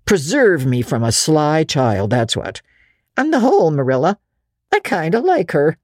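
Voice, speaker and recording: A woman's voice: low-pitched, big pitch swings, unhurried, a little mellow, no echo, studio quality, pitched above the performer's own voice, exaggerated voice. A woman voicing a kindly but officious older country woman, folksy, hearty and outspoken, in a storybook reading. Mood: musing, approving. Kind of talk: dramatic audiobook reading.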